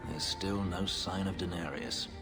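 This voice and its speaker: Deep, brooding man in an echoing hall. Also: fairly even intonation. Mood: brooding, wry. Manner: dry, deliberate. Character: brooding, guarded fugitive warrior with dry wit. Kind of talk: video game cutscene acting. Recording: long echoing hall, music behind.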